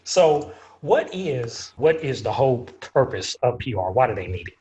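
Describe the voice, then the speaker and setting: An upbeat man hosts a monthly webinar at a mid-range pitch. He welcomes his guest with easy laughter and keeps the talk moving with short questions. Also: big pitch swings, moderate pace, dark tone. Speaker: webinar host. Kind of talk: hosting a webinar.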